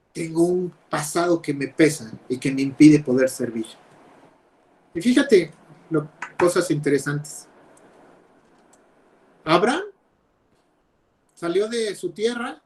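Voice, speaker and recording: A young man preaching a sermon over a video call, emphatic, with loud stresses and quiet drops. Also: high-pitched, low-quality recording. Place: home, speaking over videoconference.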